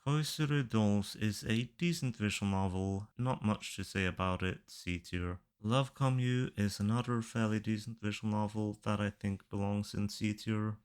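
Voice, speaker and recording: A man narrating opinionated reviews in a matter-of-fact, measured way, as a video creator. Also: fairly low pitch, steady tone, clear, resonant tone. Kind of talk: opinionated review commentary, semi-scripted narration.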